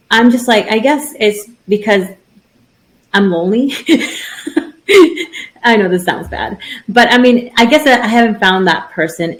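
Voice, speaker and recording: A woman in her thirties co-hosting a podcast, talking in a rambling, casual way with many restarts. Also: fairly high pitch. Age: 30s. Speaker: podcast co-host. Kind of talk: casual chat.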